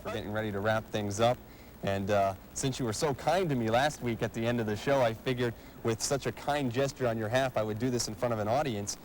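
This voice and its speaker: A man's voice: medium pitch, brisk, a little hiss or hum, poor audio. A man hosting a sports show, speaking in a polished broadcast style as he presents and interviews. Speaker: TV sports show host and play-by-play announcer. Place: arena civic center.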